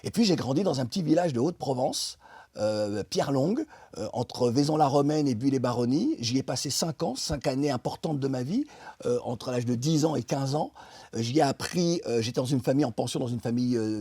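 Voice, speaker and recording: A middle-aged man from France, a guest in an interview, speaking emphatically about politics with many pauses and restarts. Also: medium pitch, mellow, husky, dry recording. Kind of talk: impassioned political interview talk.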